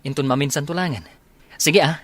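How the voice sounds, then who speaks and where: A man's voice: fairly high pitch, fairly quick, fairly bright tone. A man playing a teasing, evasive young soldier in an Ilocano radio comedy drama, hesitant and exclamatory.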